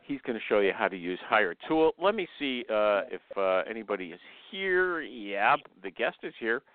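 A man hosting an informal live interview, blunt and quick to interrupt and steer his guest, heard over a narrow phone connection. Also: on the low side, very animated, no room sound. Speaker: host interviewing a guest on a live online show. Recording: narrow, thin phone-line sound.

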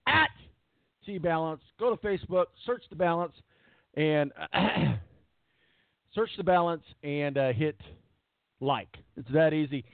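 A man hosting a sports radio show in casual chat, rambling with fillers and repeated words, with a voice that is going hoarse. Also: on the high side, dry recording. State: losing his voice. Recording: tinny phone-line quality, dry.

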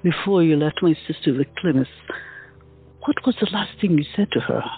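A woman plays a young woman desperate to find her missing sister in an audio drama. Her mid-range voice is husky and pushes urgently. The audio is tinny and phone-like, with faint music. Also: low-pitched, expressive, fairly quick, heavily acted. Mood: anxious. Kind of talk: amateur audio drama acting.

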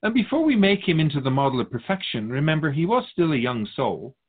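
A man playing a wise otherworldly guide of souls, teaching in a measured, philosophical and gently instructive conversational way. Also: a little high, sing-song, phone-quality sound. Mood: reflective, explaining. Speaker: guest answering questions. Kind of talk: conversational spiritual teaching, question and answer.

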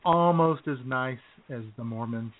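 A man with an average-pitched voice sounds squeezed through a narrow, phone-like band. He banters casually as a comedy radio co-host, with a sardonic, crude streak. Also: a little slow.

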